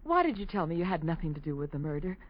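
Earnest, insistent wife, emotional and very expressive, vintage radio melodrama with dull sound. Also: female voice, sits low, rapid-fire, slight background noise. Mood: worried, probing. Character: worried, pleading, principled wife of a lawyer. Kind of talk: 1940s radio drama acting. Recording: muffled old radio recording.

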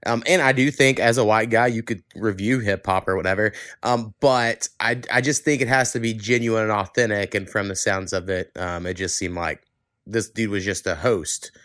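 A man's voice: lowish voice, fairly even intonation, moderate pace, fairly bright tone, no echo, clean studio sound. A man talking informally in a casual podcast conversation, slangy and loose as a host. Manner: informal, occasional swearing, slangy.

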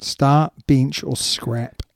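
A man's voice: medium pitch, steady tone, very slow, creaky, no room sound, studio quality. A man co-hosting a casual rugby podcast, chatting with his mate and reading out listener emails. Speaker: podcast co-host. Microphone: studio podcast mic.

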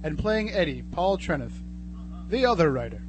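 An actor playing a sardonic, gleefully macabre narrator and announcer in old-time radio horror style, theatrical and wry. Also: male voice, a little high, sing-song, moderate pace, dull audio, background noise. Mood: mock-solemn.

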